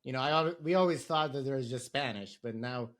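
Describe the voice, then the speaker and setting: A man hosts a podcast interview, putting his questions in a relaxed, easygoing way. His voice sits at an ordinary middle pitch, and he answers the guest with quick laughs and short agreements. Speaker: podcast host.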